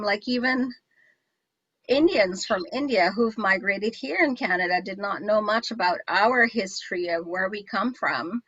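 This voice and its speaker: Chatty woman host from guyana, crisp bright voice, dry slightly dull call audio. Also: medium pitch, no echo. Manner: chatty, enthusiastic, many restarts. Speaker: show host. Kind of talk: casual interview chat.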